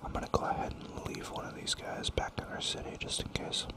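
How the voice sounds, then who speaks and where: Whispering man musing over a strategy game. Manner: rambling, musing, toys with repeated words; soft and unhurried, thinks aloud. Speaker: gaming channel host. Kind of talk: whispered ASMR gameplay commentary.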